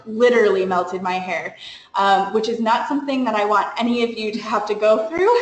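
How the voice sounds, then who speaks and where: A woman's voice: mid-range voice, echoey room, slight background noise, low-quality recording. A young woman on a study abroad program staff, giving an informal webinar briefing in a chatty way, with lots of fillers and small laughs.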